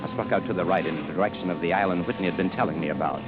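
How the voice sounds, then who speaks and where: An actor playing a cool-headed American adventurer, earnest and well-mannered, in 1940s radio drama style. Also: male voice, sits low, very fast, over loud music, lightly acted. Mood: grim, tense recounting. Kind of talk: 1940s radio acting and first-person narration. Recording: muffled, very noisy vintage radio recording.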